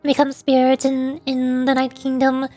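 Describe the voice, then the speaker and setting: A woman with a high voice streams a game. Her commentary is casual and chatty, wandering from thought to thought, with soft music in the background. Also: completely flat delivery, a little slow. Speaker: streamer, VTuber.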